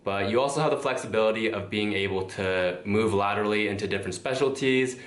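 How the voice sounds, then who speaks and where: A man's voice: fairly low pitch, fast talker. A man from the United States answering interview questions in an upbeat, confident way. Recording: echoey room.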